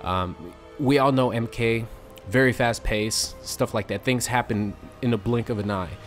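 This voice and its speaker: A young man chatting casually to his viewers about a fighting game, rambling with fillers, his voice slightly raspy from a sore throat. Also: medium pitch, unhurried, faint music behind. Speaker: gaming YouTuber. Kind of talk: casual chat to camera.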